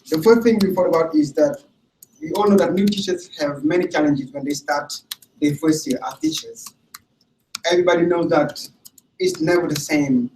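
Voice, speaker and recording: A man from Senegal gives a teaching presentation, speaking deliberately and circling back over his words. His voice sits a little high for a man. He is recorded from a distance in an echoey room with faint ambient hiss. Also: darkish tone. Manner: deliberate, with restarts and repeated words. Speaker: English teacher presenting a training module. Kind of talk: presentation to camera. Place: room without audience.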